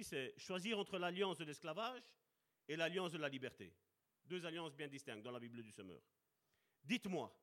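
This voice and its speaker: A man preaching a sermon with passion, slowing down to stress key words and calling out to his brothers and sisters. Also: a little high, fairly bright tone. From Italian heritage. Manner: impassioned, addresses congregation as brother and sister.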